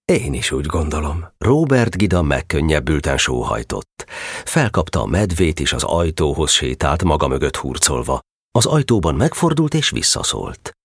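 A man playing a fatherly, gently amused storyteller, narrating a children's audio drama expressively. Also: a bass voice, sing-song, breathy edge, no echo, studio quality, heavily acted.

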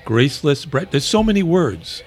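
A man's voice: on the high side, sing-song, normal speed, darkish tone, faint music behind. Rambling middle-aged male co-host. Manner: rambling, stumbling restarts. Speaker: podcast co-host. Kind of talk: casual married-couple chat.

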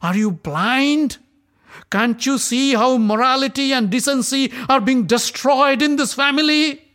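A man voicing a wronged young queen who screams and accuses in a shrill, high voice. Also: measured pace, fairly bright tone. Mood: outraged. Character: wronged young queen, proud, furious, desperate.

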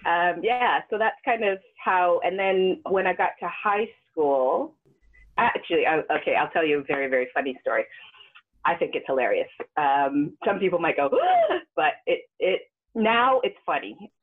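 A woman who grew up in Western Canada, telling stories as a guest performer in a casual interview, laughing often and jumping up high when she quotes people. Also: moderate pace, husky. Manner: animated, laughs often, jumps into high pitch for quoted voices. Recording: phone-like narrow sound.

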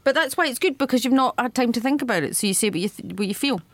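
A woman's voice: fairly high pitch, lively intonation, racing, a bit bright, dry recording. A middle-aged Scottish woman hosting a podcast, chatting with a guest in a dry, self-mocking way. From Scotland. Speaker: podcast host. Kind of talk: casual interview chat.

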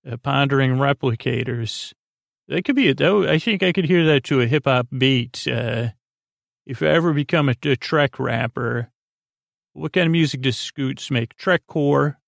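A man with a mid-pitched, heavily creaky voice hosts a bedtime podcast, recorded dry with hardly any room sound. He rambles in a halting way, drifting into tangents and cutting himself off mid-sentence, as company for people trying to fall asleep. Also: no room sound. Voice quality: gravelly, creaky voice. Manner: meandering, halting, full of tangents and self-interruptions. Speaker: podcast host. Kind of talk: rambling bedtime monologue to help listeners sleep.

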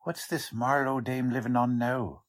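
An actor playing a gruff, hot-headed police sergeant who talks in blunt, emphatic slang, in the style of old-time radio drama. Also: male voice, mid-range voice, fairly even intonation, unhurried, close to an everyday voice. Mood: gruff, curious. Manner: blunt, emphatic, street-slang cop talk.

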